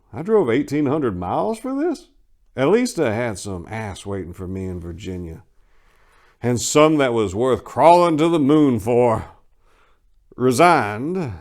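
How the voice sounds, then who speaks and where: A lower-voiced man delivers a dramatic reading with theatrical flair. His pitch swings widely as he leans on words with emphasis and a wry edge, in the manner of a host telling a tale. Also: very animated, a little slow, a little mellow. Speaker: writer and channel host performing dramatic readings. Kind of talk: dramatic first-person story reading.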